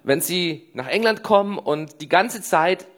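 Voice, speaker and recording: A woman's voice: on the low side, lively intonation, measured pace. A woman interpreting a sermon phrase by phrase, closely following the preacher.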